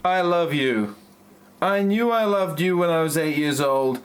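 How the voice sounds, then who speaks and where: Audio play spoof: male actor as middle-aged male lover, slightly high-pitched, unhurried, earnest and stilted. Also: lively intonation, clear, resonant tone. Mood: earnest, tender. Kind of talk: amateur parody audio play acting.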